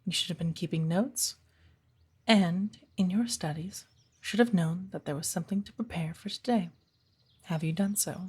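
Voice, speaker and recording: A woman playing a stern, patient witch who teaches an apprentice in a soft, breathy ASMR roleplay, speaking in a measured, formal, commanding way. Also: lowish voice. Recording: birdsong behind the voice. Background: birdsong, woodland ambience.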